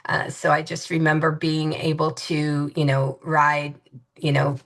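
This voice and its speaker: A woman's voice: low-pitched, little pitch movement, unhurried, a bit bright. A woman in her fifties from Hawaii, an interview guest recalling her family in a reflective, hesitant way, with many fillers and restarts. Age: 50s.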